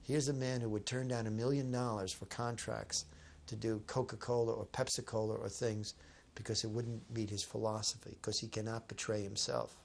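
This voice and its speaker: A man's voice: on the low side, flat delivery, moderate pace, gravelly, slight background noise. A man speaking in an interview, firm and emphatic.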